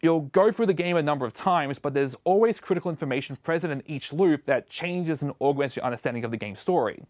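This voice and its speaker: A man's voice: fairly high pitch, animated, fast talker, darkish tone, no echo. A man presenting a scripted opinion video essay as a show host, recorded as a close voice-over.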